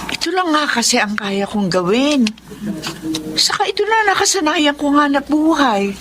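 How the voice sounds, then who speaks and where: A woman's voice: high voice, sing-song, moderate pace, a bit bright, slight background noise. A woman acting a poor, long-suffering middle-aged mother who washes laundry, plaintively explaining herself in a Filipino radio drama. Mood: hurt, pleading.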